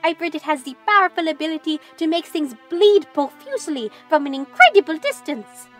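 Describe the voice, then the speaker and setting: A woman's voice: high voice, lively intonation, fairly quick, a bit bright, faint music behind. A woman playing an earnest, bookish rebel in a fantasy audio drama, speaking in precise, formal phrases. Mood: worried.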